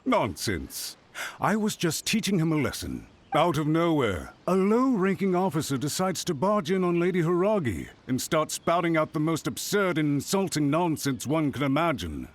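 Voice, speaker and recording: An actor playing a haughty, scheming government official in a video game, with a pompous, theatrical and emphatic delivery. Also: male voice, a little high, big pitch swings, normal speed. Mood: indignant. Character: haughty, scheming male government official, indignantly self-righteous.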